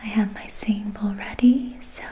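A young adult woman guiding a relaxation in a soft, breathy ASMR whisper, soothing, gentle and unhurried. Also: medium pitch, fairly even intonation. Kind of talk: whispered ASMR guided meditation. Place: small dim quiet room indoors.

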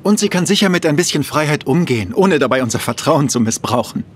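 An actor playing a kind, easygoing father with an encouraging, calm manner in a children's audio drama. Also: a little high, quick pace, slight background noise, lightly acted. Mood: gently firm. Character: kind, easygoing, trusting father of a young girl.

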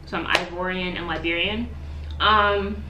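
A woman's voice: lowish voice, steady tone, unhurried, bright, forceful tone, reverberant, muffled recording. A young woman in her twenties chatting casually while eating on camera in her living room, with lots of "like". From United States. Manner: chatty, eating between sentences, frequent "like". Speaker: YouTuber. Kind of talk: casual mukbang chat. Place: living room, seated on floor by sofa. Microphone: camera on tripod at a distance.

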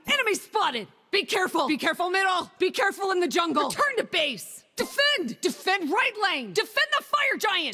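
A young, hotheaded female fire mage from a video game. Her voice is very high and swings in pitch, with punchy, cocky emphasis. It rings out in a long reverb. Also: pushed and bright, full character voice. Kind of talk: video game voice pack lines.

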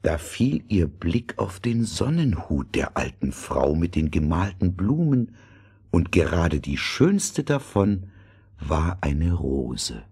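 A man with a husky, breathy voice narrating a scripted fairy tale. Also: low voice, sing-song, slow pace, darkish tone.

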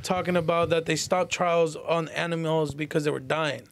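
High-voiced young man in casual debate. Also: steady tone, moderate pace, creaky. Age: young adult. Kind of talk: casual group podcast debate. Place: small room with couches, indoors.

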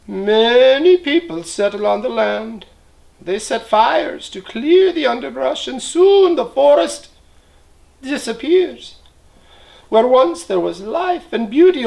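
Toucan. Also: male voice, expressive, takes it slowly, gravelly, a little mellow, heavily acted, low-quality recording. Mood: stern. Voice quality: shrill squawk. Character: squawking male toucan bird.

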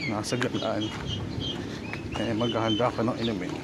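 A man vlogging casually as a farmer on a walk-around, speaking off the cuff in short phrases with pauses. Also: fairly low pitch, flat delivery. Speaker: farm vlogger, goat farmer. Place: outdoors on a rural backyard goat farm. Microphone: handheld phone behind the camera. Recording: noisy outdoor phone recording, farm animals. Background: birds and animals, outdoor farm ambience.

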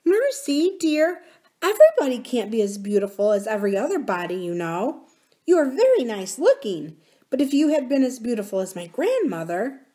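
A woman's voice: fairly high pitch, big pitch swings, moderate pace, warm tone, pitched above the performer's own voice. A woman voicing a young princess girl, earnest and proud, who speaks in a pleading, sometimes indignant way.

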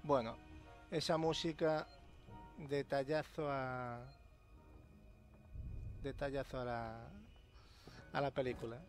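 A man's voice: mid-range voice, level tone, slow pace, long pauses, music in the background. A man with a Castilian Spanish accent giving casual, sweary gameplay commentary, muttering to himself as he plays. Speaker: let's player. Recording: clear game ambience noise behind. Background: sci-fi horror game sound.

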